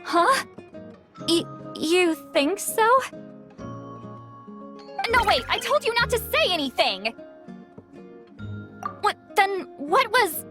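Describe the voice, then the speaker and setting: A woman's voice: very high-pitched, full character voice. A woman playing a grumpy, easily embarrassed young-sounding woman in a video game, huffy and prone to stammer. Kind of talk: video game character acting. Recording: clear music behind.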